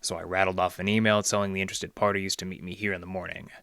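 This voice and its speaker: An actor playing a wry, deadpan library detective who narrates his case in hardboiled old radio style. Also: deep voice, quick pace, vocal fry. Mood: tense, wry narration. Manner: deadpan, sardonic, slangy.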